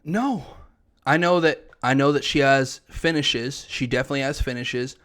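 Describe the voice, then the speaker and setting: A man's voice: mid-range voice, normal speed, a bit bright. A man co-hosting a podcast in casual sports chat, giving fight predictions in a slangy, emphatic, bantering way. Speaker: co-host of an MMA podcast.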